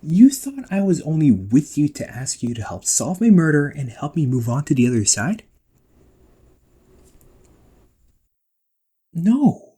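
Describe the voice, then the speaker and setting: A man playing a protective, exasperated ghost brother in an emotional amateur audio roleplay, speaking in a husky voice. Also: on the high side, exaggerated voice. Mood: shocked, hurt. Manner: emotional, swearing, swings from outbursts to soft confessions.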